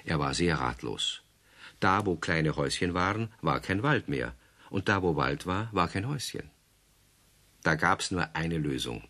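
A man narrating a children's radio play in a lively storytelling manner. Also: deep voice, measured pace.

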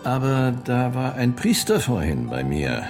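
An actor playing a sly, powerful man at an imperial court in a German historical radio drama, deliberate and insinuating. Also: medium pitch, very animated, over music.

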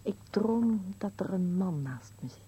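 An actress playing a dreamy, wistful old wife in a radio play, soft-spoken and gently persistent. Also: female voice, mid-range voice, expressive, unhurried, husky. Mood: dreamy, musing. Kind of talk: dialogue in an absurdist radio play. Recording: dull, noisy old radio play recording.

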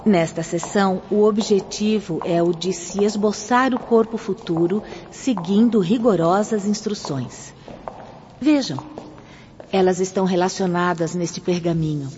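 An actress playing a gentle but authoritative female spirit guide in a radio drama, formal and courteous as she instructs. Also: mid-range voice, a little slow, natural voice. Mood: courteous, instructive. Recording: muffled, reverberant hall, noisy radio-drama recording.

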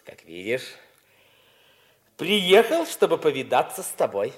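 An actor in a 1950s radio play playing an insinuating, falsely humble young rival with an ingratiating manner. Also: male voice, on the high side, sing-song, measured pace.

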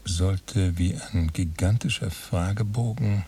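Dry commentator, reflective, radio drama, low dark voice, a little off-mic, light hiss. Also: male voice, slow pace. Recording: slightly distant, faint hiss.

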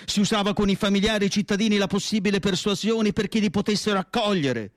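Radio drama: male actor for melancholy, earnest asylum doctor, slightly high male voice, deliberate weighty delivery, very dry sound. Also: no echo, close to an everyday voice. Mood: nostalgic, proud. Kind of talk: theatrical radio drama monologue.

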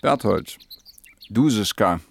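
Low, creaky, laconic disc jockey. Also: male voice, lively intonation, slow, exaggerated voice. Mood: wry, flirtatious. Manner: laconic, dry, clipped phrases. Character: mid-thirties male mobile disc jockey, wry, flirtatious economist. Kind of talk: naturalistic German radio play dialogue.

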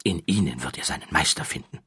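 A discreet society gentleman in an audiobook, acted out by a male narrator. He is courteous, confiding and flattering, in a very husky, low voice with a dry, close sound. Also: quick pace, no echo, exaggerated voice. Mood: eager, flattering. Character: finely dressed gentleman passenger, discreet and flattering. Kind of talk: audiobook character dialogue.

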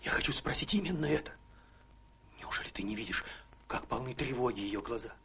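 An actor playing an earnest, loyal young army officer in a radio drama, speaking gravely and haltingly with theatrical emphasis. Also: male voice, mid-range voice, lively intonation, sharp tone, a little hiss or hum. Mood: impassioned, pleading. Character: earnest, loyal army officer reproaching his careless friend.

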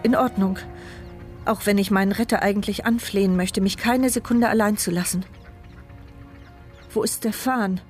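A woman's voice: mid-range voice, steady tone, moderate pace, pauses often, darkish tone, soft background music, natural voice. An actress playing a mute, paralysed woman who narrates her inner thoughts in an intimate, urgent voice in a radio drama. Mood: tense, wry. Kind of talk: first-person radio drama monologue.

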